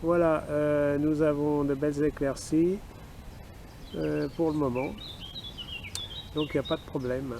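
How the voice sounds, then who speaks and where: A man with a French accent makes a radio contact in a creaky, slightly raised voice. He talks as an amateur operator outdoors, with clear nature noise and birdsong around him. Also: fairly high pitch, level tone, a little slow, pauses often, mellow. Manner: spells call signs in phonetic alphabet. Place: outdoors in a wooded park.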